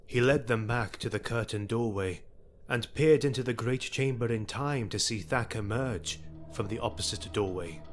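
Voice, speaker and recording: A man narrating a fantasy adventure drama in a grave, deliberate, dramatic manner. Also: medium pitch. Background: music.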